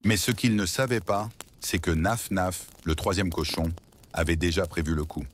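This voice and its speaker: A man narrating a scripted children's story as storyteller, in an expressive, dramatic way. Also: deep voice, moderate pace, husky.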